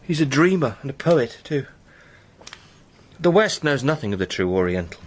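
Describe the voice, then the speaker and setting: An actor playing an earnest, impassioned British gentleman spy with a literary turn of speech in a radio drama. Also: mid-range voice, very animated, moderate pace, slight background noise, close to an everyday voice. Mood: intense, awed. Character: Sandy, fervent eloquent British gentleman spy.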